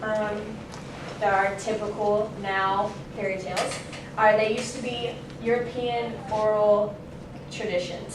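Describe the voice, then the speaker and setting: Young woman doing a casual student speech, really echoey noisy room, mic far away. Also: medium pitch. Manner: casual, loosely worded, self-correcting. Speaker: student giving an informative speech. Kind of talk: informal classroom presentation. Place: indoor classroom. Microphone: distant camera microphone.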